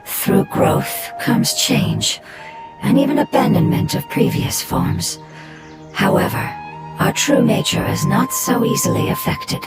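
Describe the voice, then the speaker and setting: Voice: mid-range voice, wildly swooping pitch, slow pace, vocal fry, exaggerated voice. A solemn little forest spirit. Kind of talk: video game voice acting. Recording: loud game soundtrack playing behind. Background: loud game soundtrack music.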